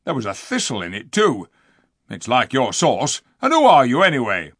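A man voicing a surly, gruff male dwarf who scolds and sneers loudly in a storybook reading. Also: medium pitch, wildly swooping pitch, normal speed, no echo, studio quality, heavily acted. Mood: angry scorn.

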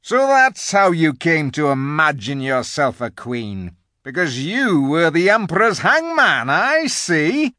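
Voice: mid-range voice, sing-song, slow pace, bright, forceful tone, no echo, clean studio sound, voice pushed up, exaggerated voice. A performer voicing a blunt, indignant male beaver with a sneering manner in a storybook. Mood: scornful.

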